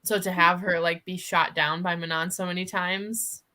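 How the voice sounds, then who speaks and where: Bright-voiced woman chatting casually about books. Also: lowish voice, steady tone, normal speed. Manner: frequent "like", giggly, opinionated. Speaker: book discussion co-host. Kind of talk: casual excited book chat. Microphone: livestream video call.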